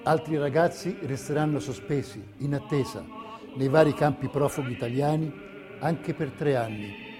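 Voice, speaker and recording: A man's voice: medium pitch, normal speed. A man reading scripted historical narration as a documentary theatre narrator. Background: music under the voice.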